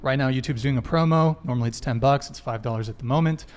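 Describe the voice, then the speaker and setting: A man's voice: medium pitch, fast. A young man hosting a live dating podcast, loose and joking as he stumbles through announcements. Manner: loose, joking, stumbles over tech mishaps. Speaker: podcast host. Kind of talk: live stream announcements, casual.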